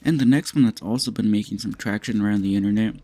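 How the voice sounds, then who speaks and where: A young man talks casually into a close, dry microphone, his voice slightly raspy and sitting a bit low. He rambles along, swearing freely with an amused edge, and his tone stays mellow rather than bright. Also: fast, no room sound. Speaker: commentary YouTuber. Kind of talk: casual reaction commentary.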